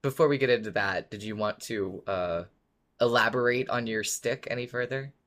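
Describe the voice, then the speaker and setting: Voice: mid-range voice, moderate pace, a bit bright. Giggly podcast co-host, casual chat. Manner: giggly, laughs while talking. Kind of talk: casual fan discussion chat.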